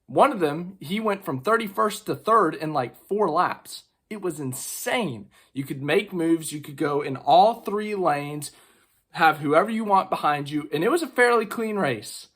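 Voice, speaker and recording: A man with strep throat giving a casual, chatty race recap, excitable and full of fillers. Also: on the high side, a little slow, husky. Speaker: racing vlogger.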